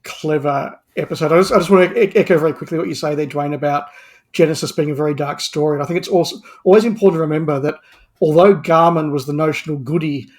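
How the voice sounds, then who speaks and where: A man's voice: on the high side, steady tone, fast talker. A man giving a casual review as a podcast guest, making articulate, thoughtful points at length.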